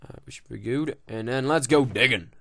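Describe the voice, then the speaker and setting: A man's voice: medium pitch, fairly quick, creaky, a bit bright, dry recording, studio quality. Rambling, profane young man gaming. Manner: rambling, profane, joking, many fillers. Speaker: gaming video host. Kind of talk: casual game commentary.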